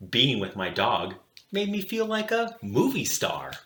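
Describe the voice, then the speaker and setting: A man narrates a children's storybook as a read-aloud host. His voice is bright and forceful, with lively, dramatic swings and deliberate pacing. The recording has a clear room echo. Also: mid-range voice.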